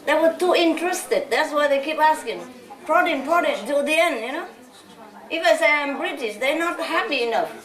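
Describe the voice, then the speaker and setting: A woman's voice: sits high, lively intonation, moderate pace, fairly bright tone. A woman from Vietnam, giving an informal talk to followers as a spiritual teacher, chatty and laughing often. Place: large hall with a live audience. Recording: echoey hall, distant mic, audience noise.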